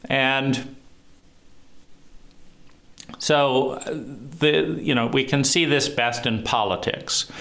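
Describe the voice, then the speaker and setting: Slow, deep-voiced man reflecting. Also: creaky, a bit bright. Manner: slow, deliberate, pausing often with fillers. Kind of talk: reflective monologue on trauma and psychology.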